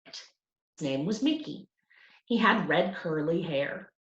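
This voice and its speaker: A woman reading a children's novel aloud as a storyteller, with an animated, deliberate delivery. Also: low-pitched. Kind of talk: expressive scripted audiobook narration for children. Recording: roomy, dull lo-fi home recording.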